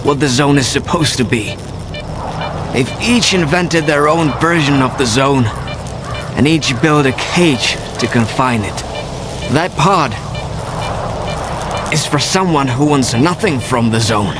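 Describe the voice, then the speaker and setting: An actor playing a weary, fatalistic male guide in a video game cutscene, speaking in a deliberate, reflective way. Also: medium pitch, animated, over music, lightly acted. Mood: weary, earnest.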